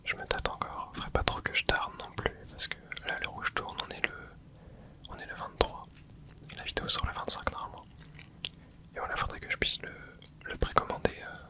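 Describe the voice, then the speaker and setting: A young adult ASMR host whispering casual, rambling chat while playing a video game, with many hesitant fillers. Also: a little fast. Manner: soft unvoiced whisper throughout. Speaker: ASMR gaming channel host. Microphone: close mic.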